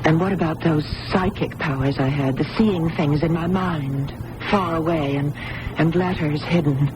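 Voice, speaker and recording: A woman's voice: low voice, normal speed, natural voice. A woman acting an anxious patient with multiple personalities in a radio drama, hesitant and questioning. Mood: anxious, hopeful. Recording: noisy old radio broadcast recording.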